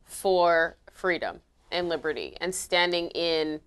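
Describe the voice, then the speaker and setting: A woman co-hosting a casual political talk show, chiming in with quick quips and agreement. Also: on the low side, animated, a bit bright, clean tone. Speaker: co-host. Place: lives in Florida.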